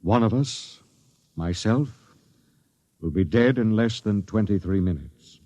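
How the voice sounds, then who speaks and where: An actor playing a grim, doomed space expedition captain in a 1950s radio drama, solemn and stern. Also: male voice, on the low side, animated, measured pace, dark tone, no room sound. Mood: grim, resigned. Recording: dull vintage radio recording with music.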